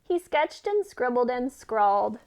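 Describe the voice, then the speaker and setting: A woman's voice: on the high side, very animated, unhurried, a little mellow. A woman reading a children's story aloud expressively, as a teacher who puts questions to listeners.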